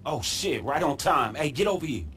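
A man's voice: fairly high pitch, sing-song, normal speed, a bit bright, slight background noise. An actor playing an exasperated, no-nonsense American street businessman in a video game, scolding in casual slang. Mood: businesslike. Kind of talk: video game cutscene acting.

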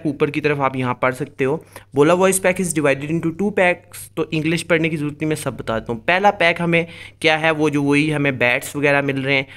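A man's voice: on the high side, a bit bright. A man hosting a gaming tips video, explaining things step by step in a casual way and addressing viewers directly. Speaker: host of a mobile game tips channel. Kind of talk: casual tutorial commentary over gameplay.

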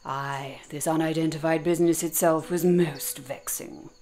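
A woman playing a grandmother in an audio drama, telling an old-fashioned tale in a hushed, measured manner. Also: deep voice, fairly even intonation, lightly acted. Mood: ominous storytelling. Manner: hushed, measured, archaic storytelling.

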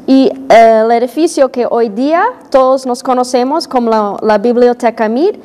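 A woman's voice: on the high side, normal speed, darkish tone, big-room sound. A young woman, a children's librarian and a second-language Spanish speaker, answering interview questions carefully with some hesitation. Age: young adult. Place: small TV studio set. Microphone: lapel.

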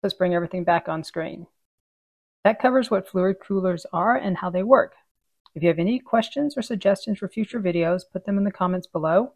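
A woman's voice: on the low side, a little fast, dry recording. A woman in her thirties presenting a scripted technical lesson, explaining equipment step by step as she draws. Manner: clear, steady instructional delivery. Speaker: HVAC training presenter.

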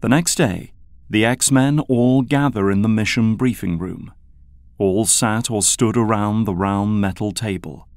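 A man narrates an audio drama from a script. His voice is on the low side, and the recording is dry, with no room sound. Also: a little slow, a little mellow, dry recording.

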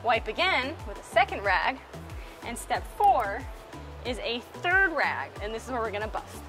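A woman's voice: on the high side, big pitch swings, moderate pace, pushed and bright, faint music behind. A young woman co-hosting a boating vlog, explaining each step of a job in an upbeat, step-by-step way inside a big boat storage warehouse. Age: young adult. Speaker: co-host of a boating channel. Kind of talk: casual how-to vlog narration.

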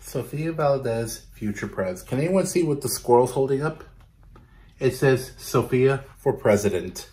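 A man in his thirties reading a picture book aloud to children, pausing to ask questions and explain, recorded in a small room. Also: medium pitch, expressive, warm tone. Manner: instructive, pausing to question and explain to young listeners. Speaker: children's storytime reader. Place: small bedroom, indoors.

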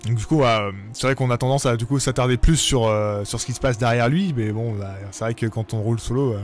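A man giving relaxed live commentary on a sim racing event, with many hesitations and fillers. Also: mid-range voice, brisk, a little hiss or hum.

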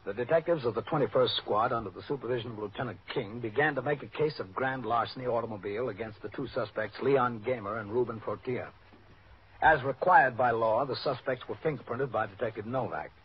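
A man playing a steady, matter-of-fact police captain in a scripted old-time radio drama. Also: medium pitch, fairly quick, lightly acted. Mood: factual, measured. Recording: dull, noisy old radio recording.

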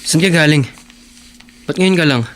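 A man's voice: on the high side, moderate pace, a bit bright, soft background music, close to an everyday voice. A man playing a poor, earnest teenage boy devoted to his girlfriend in a radio drama. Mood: weary. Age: teens. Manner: earnest and reassuring. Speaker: young lover.

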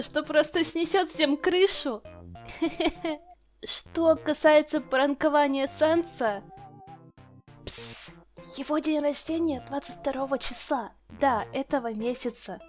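A woman voicing a nerdy, shy female lizard scientist in a fan comic dub, giggly and hesitant. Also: high-pitched.

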